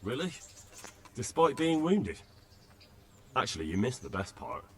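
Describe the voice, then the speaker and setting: A man's voice: medium pitch, big pitch swings, unhurried, a little hiss or hum. An actor playing a cheeky young companion in a game, teasing his lord in a familiar way. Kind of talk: video game cutscene acting.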